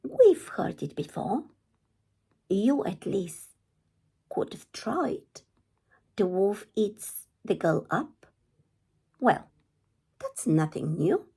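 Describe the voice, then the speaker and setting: A woman's voice: medium pitch, big pitch swings, drawn-out words, gravelly, pauses often, dark tone, husky, heavily acted. A woman voicing an exasperated, scolding female librarian in a children's storybook. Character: exasperated female librarian, blubbering then sighing critic.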